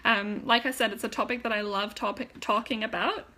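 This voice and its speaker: A woman's voice: on the high side, steady tone, brisk, bright, forceful tone. A woman whose first language is German, chatting casually and rambling to viewers on a live stream, with frequent fillers and restarts. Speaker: wellness vlogger.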